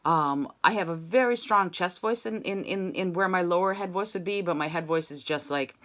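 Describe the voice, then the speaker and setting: A woman's voice: lowish voice, moderate pace, a bit bright. A woman teaching an online singing lesson, coaching students and demonstrating sung scales on "ma". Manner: encouraging, demonstrates sung vowels.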